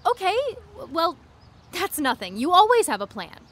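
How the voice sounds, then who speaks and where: Lively, bright, insistent teenage girl. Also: extremely high pitch, normal speed, full character voice. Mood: earnest, urging. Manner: insistent, earnest, problem-solving. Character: pushy, well-meaning overachieving teenage girl, a best friend. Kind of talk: naturalistic audio drama acting.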